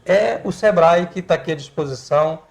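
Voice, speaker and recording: A man's voice: a little high, normal speed, vocal fry. A man from Bahia, Brazil, hosting a live interview show in a chatty, colloquial way, speaking straight to viewers. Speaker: TV show host.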